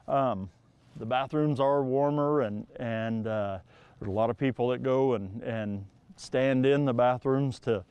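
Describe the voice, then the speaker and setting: Middle aged guy outside chatting to a reporter, smooth voice, kinda repeats himself. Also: mid-range voice, a little slow, darkish tone, dry recording, lo-fi sound. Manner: chatty, repeats words as he talks. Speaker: interviewee, sports fan. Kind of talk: casual interview answers. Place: outdoors by a building.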